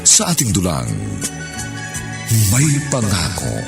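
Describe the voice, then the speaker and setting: A man's voice: deep voice, huge pitch swings, slow pace. A man announcing a radio drama in a scripted, dramatic style. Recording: music under the voice. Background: music.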